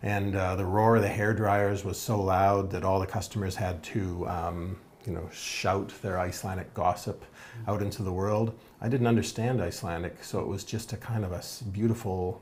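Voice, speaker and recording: Low-voiced Canadian man reminiscing. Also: fairly even intonation, normal speed, darkish tone, a little hiss or hum. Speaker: interviewee. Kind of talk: casual storytelling.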